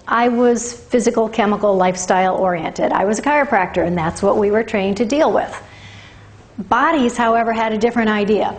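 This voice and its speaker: A woman in her fifties giving an informal lecture to a class, measured and explanatory with deliberate pauses. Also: medium pitch. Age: 50s. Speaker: workshop teacher, holistic health practitioner.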